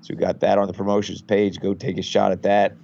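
A man with a head cold speaks in a gravelly, hoarse, low voice over a dull lo-fi line. He is a betting site guest who rambles easily, with plenty of "you know"s. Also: fast. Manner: relaxed, rambling, many fillers. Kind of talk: casual podcast interview.

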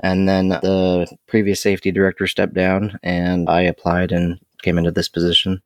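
A man answering interview questions about his work as a safety director, in a measured, reflective way. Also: low-pitched, monotone, no room sound. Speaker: podcast guest, safety director.

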